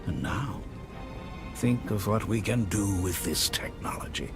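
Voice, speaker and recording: Game villain: pompous, zealous schemer, creaky edge, showy melodic delivery, loud score underneath. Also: male voice, on the low side. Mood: theatrical awe. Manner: theatrical, pompous, drawn-out flourishes. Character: flamboyant theatrical male villain, grandiose zealous schemer. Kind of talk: video game cutscene acting. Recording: loud music behind the voice.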